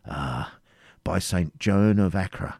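A man's voice: low voice, slow pace, creaky, a little mellow, breathy edge, no room sound, heavily acted. Man acting an impatient, commanding wounded knight, dry sound. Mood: admiring. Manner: commanding, archaic exclamations and demands. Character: impatient wounded male knight, fierce and chivalrous. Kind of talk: dramatic audiobook character reading.

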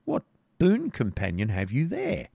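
A man's voice: mid-range voice, huge pitch swings, unhurried, creaky, dark tone, breathy edge, no room sound, pitched above the performer's own voice, exaggerated voice. Man playing a brusque, commanding outlaw yeoman. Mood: stern, questioning. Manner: blunt, archaic English.

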